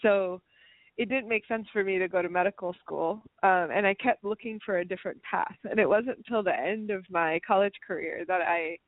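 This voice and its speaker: A woman in her thirties, a naturopathic doctor, telling her story as a guest in a relaxed, conversational way. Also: medium pitch, fairly quick, dry recording. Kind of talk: radio interview. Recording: dry phone-like audio.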